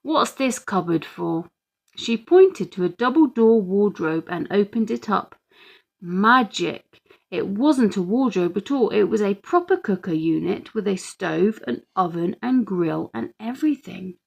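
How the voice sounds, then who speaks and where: A woman narrates a children's book. She reads from a script at a leisurely pace. Her mid-pitched voice carries a clear creak. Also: lively intonation, measured pace. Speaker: audiobook reader.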